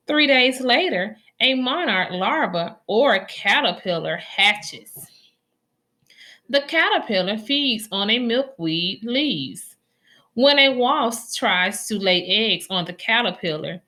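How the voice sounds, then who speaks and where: Woman, children's story read-aloud, very lively intonation, unhurried pace, deliberate teaching delivery. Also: mid-range voice.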